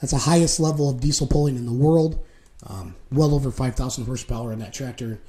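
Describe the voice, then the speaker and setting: A male show host talks very quickly in a casual, chatty way. His voice sits at a medium pitch and carries a slight hoarseness. The delivery is loose and informal, like live talk between co-hosts. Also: dark tone.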